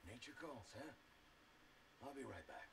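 An actor playing a chatty, wary police officer in a video game, needling, with a Spanish accent. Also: male voice, mid-range voice, lively intonation, measured pace, gravelly, fairly bright tone. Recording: distant game audio under heavy noise.

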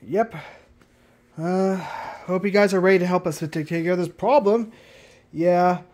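A man's voice: high-pitched, fairly even intonation, darkish tone. A young man voicing his plush toys in an improvised cartoon, rambling with lots of restarts, recorded on a handheld phone in a bedroom. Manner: rambling, halting, many restarts. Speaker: puppeteer voicing all the plush characters.

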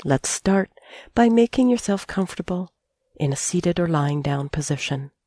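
A middle-aged woman guiding a sleep meditation as a teacher, calm and soothing, speaking in measured phrases with long pauses. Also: lowish voice, no echo, studio quality. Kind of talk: guided sleep meditation narration.

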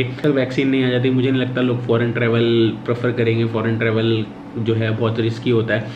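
A man's voice: mid-range voice, muffled recording, noisy background. Chatty young Indian man vlogging at home. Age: young adult. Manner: chatty, repeats his points, explains while packing gear. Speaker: travel vlogger. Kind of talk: casual vlog talk to camera. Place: small bedroom indoors. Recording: echoey room with music behind.

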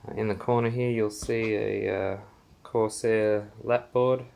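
A man gives a casual, halting walkthrough of his small basement home theater, filming on a handheld phone as he walks. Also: on the low side, flat delivery, vocal fry, darkish tone. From Australia. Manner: unhurried, halting with many fillers. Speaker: home theater owner giving a room tour. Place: small basement room, indoors. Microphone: handheld phone camera, filming while walking.

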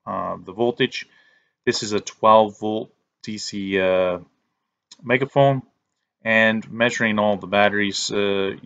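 A man giving step-by-step instructional narration as a repair technician, in a halting, plain-spoken way. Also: lowish voice, bright, forceful tone, lo-fi sound. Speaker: repair technician presenting a tutorial.

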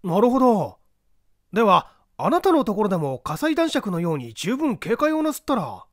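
A man's voice: high voice, wildly swooping pitch, moderate pace, no echo, studio quality, pitched above the performer's own voice. A man voicing a polite guest in a dramatized mystery audiobook, with a formal, theatrical delivery. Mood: concerned. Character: polite male guest questioning the castle lord.

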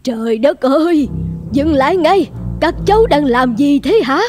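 A woman's voice: high voice, expressive, a little slow, a little mellow. A woman playing an old grandmother cat in a cartoon, stern but caring, admonishing like an elder. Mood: scolding, alarmed. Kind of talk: animated fairy-tale dubbing. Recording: music playing behind the voice.

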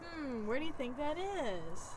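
A woman's voice: sits high, big pitch swings, a little slow. A woman with a sing-song, encouraging delivery, chatting playfully and reading aloud for a kids' vlog. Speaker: co-host filming a child's scavenger hunt. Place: outdoors at a playground and yard. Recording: noisy handheld outdoor recording.

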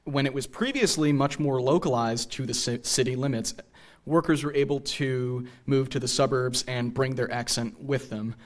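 A young American man delivers a public lecture from a theater stage. His voice is mid-pitched, and he mixes prepared points with casual asides into a podium microphone. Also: steady tone, moderate pace. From New England, USA. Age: young adult.

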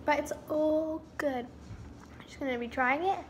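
Chatty young woman, high voice, roomy kitchen. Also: measured pace, a little hiss or hum. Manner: chatty, lots of "like" and "um", self-mocking. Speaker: vlogger. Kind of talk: casual baking vlog chat. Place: home kitchen, indoors. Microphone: camera mic, from across the room at times. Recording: roomy kitchen sound from off the mic.

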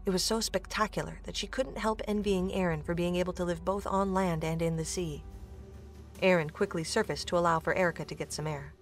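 A woman's voice: on the low side, steady tone, brisk, soft background music. A woman reading scripted narration for an audio drama, as a storyteller.

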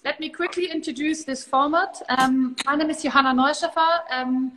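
A woman's voice: high voice, fairly even intonation, normal speed, big-room sound, background noise. A woman in her thirties with a German accent, hosting a livestream interview as curator, friendly with many ums. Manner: friendly, filler-heavy introductions. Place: large open gallery space, indoors.

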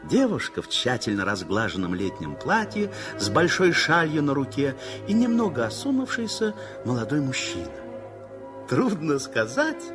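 A man narrating a 1960s radio play in an expressive, lyrical storytelling manner. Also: on the high side. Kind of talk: dramatic literary narration. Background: music.

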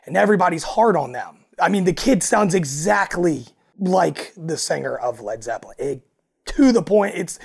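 A man with a raspy voice, talking casually as a podcast guest and telling stories with acted-out asides. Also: on the high side, a little mellow. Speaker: guest, alternative country singer and frontman.

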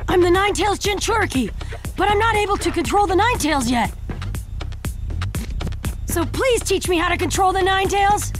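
A woman voicing a brash, eager, hot-headed teenage boy in an anime game dub, loud and blunt with some rasp. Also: very high voice, expressive, moderate pace, long pauses, music in the background. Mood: pleading.

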